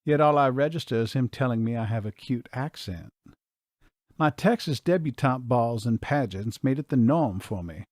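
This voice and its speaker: Man reading a novel, slow and measured. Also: medium pitch, a little mellow, no room sound, clean studio sound. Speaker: audiobook narrator. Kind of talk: first-person novel narration.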